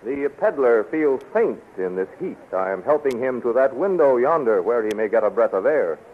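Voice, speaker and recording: A man's voice: mid-range voice, lively intonation, moderate pace, phone-quality sound, natural voice. A man playing a resolute, urgent hero in a 1930s space adventure radio serial, on a scratchy recording. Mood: urgent, guarded.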